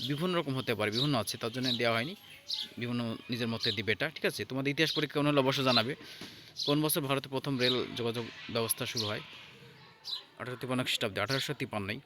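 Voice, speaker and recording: A man giving an informal lesson as an exam tutor, halting and repeating himself as he checks in with listeners. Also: mid-range voice, background noise. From India. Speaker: exam tutor presenting answer keys.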